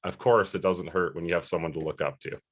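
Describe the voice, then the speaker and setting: A man's voice: deep voice, steady tone, fast, husky. A man in his early thirties answering interview questions earnestly, rambling a little with fillers and restarts. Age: 30s. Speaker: interview guest.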